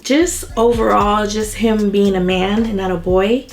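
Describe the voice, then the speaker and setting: A young woman co-hosting a casual couple's question-and-answer video, chatty and quick to laugh, still getting over a cold. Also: mid-range voice. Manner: chatty, laughs often, lively. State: recovering from a cold. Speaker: vlog co-host.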